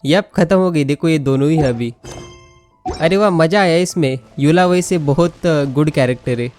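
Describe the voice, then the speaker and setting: Indian woman, gaming host, rapid chatter, Hindi-English mix, giggly, game music behind. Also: deep voice, darkish tone. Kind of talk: casual gameplay commentary. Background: video game music and sounds.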